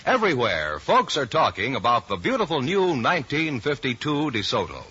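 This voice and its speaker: Man, American, vintage commercial announcer, emphatic, rough-edged voice, dull old radio sound. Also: mid-range voice, huge pitch swings, moderate pace, pushed and bright. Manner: polished, emphatic selling. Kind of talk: 1950s radio car advertisement.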